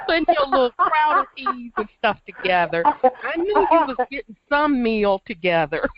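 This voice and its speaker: Hoarse laid-back radio host. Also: male voice, very high voice, very animated, a little slow, highs cut off, a crowd in the background. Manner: laid-back, chuckling, folksy. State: hoarse from a cold. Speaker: host of a call-in internet radio show. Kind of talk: casual call-in radio chat.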